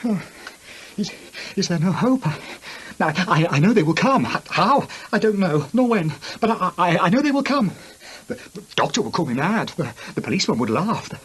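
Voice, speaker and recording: An actor playing a terrified, haunted English gentleman scholar in a radio drama, stammering and repeating his words in a husky, breathy voice. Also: high voice, very animated, normal speed, exaggerated voice. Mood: despairing terror.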